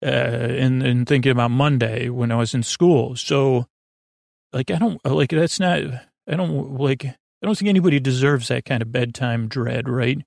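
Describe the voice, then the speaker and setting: A man hosting a sleep podcast, talking in a meandering, gentle way with many restarts and fillers. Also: medium pitch, normal speed, vocal fry, dry recording, clean studio sound. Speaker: podcast host. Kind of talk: rambling bedtime podcast intro.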